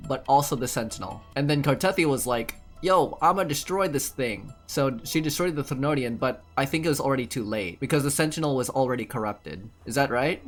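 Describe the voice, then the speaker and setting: A man's voice: mid-range voice, lively intonation, a little fast, few pauses. A young man doing casual playthrough commentary, thinking aloud in informal, slangy speech. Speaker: gaming YouTuber playing and commenting. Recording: commentary over game music.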